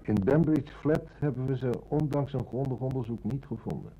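An actor playing a polite, firm and determined police inspector in a radio play, speaking in a formal, measured way. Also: male voice, medium pitch, warm tone, close to an everyday voice. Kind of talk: Dutch radio drama acting. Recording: dull, noisy old radio recording.

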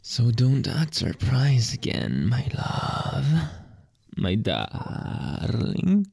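A young man doing a whispered ASMR roleplay as a teasing boyfriend, talking slowly in breathy whispers with soft chuckles into a close microphone. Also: medium pitch, wildly swooping pitch, no echo.

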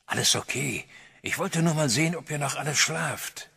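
An actor playing an old male farmer and grandfather, stern and fearful, in an audio drama, talking hushed and then grimly threatening. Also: on the high side, moderate pace, vocal fry, breathy edge, no echo, clean studio sound, exaggerated voice. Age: older. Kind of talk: radio drama acting.

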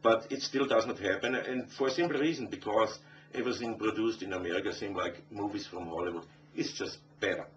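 A man's voice: fairly low pitch, brisk, fairly bright tone. A middle-aged man from Austria with an Austrian German accent, a native German speaker answering conversationally as a musician in an interview. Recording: dull, distant, faintly noisy interview audio.

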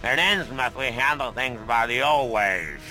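An actor playing a stern, hostile small-town constable in a video game, with a folksy, threatening manner. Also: male voice, medium pitch, lively intonation, measured pace, bright, forceful tone, faint music behind. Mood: cold warning. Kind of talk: video game cutscene acting.

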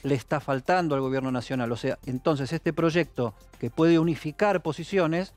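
A man's voice: a little high, moderate pace, darkish tone, faint music behind. A man with an Argentine accent, co-hosting a studio news panel in a folksy, colloquial way. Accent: Argentine Spanish. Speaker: news co-host.

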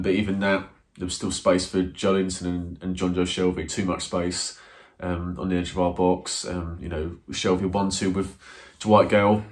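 A man sits in a small room and talks his team's match over to the camera. His voice is deep and level, with little rise or fall. He hesitates often, restarting his sentences. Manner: hesitant, many ums and restarts, earnest. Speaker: football fan reviewer. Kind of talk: post-match review to camera. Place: small indoor room, plain wall. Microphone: camera in front, seated.

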